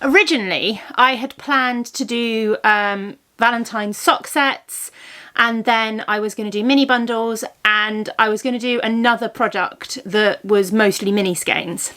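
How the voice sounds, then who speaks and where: A woman's voice: a little high, unhurried, sharp tone. A woman in her thirties chatting casually in a vlog, a little congested from a cold, rambling with lots of ums and self-corrections. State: congested, blocked ear from a cold. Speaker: vlogger, yarn dyer.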